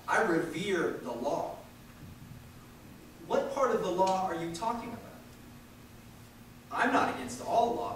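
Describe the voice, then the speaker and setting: Male orator, higher-pitched and emphatic, delivering an impassioned political speech, heard from afar. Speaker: speaker reading a historical speech aloud. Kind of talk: impassioned political speech reading at a public event. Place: large echoey room at a live university event. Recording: echoey hall from far off, faint noise.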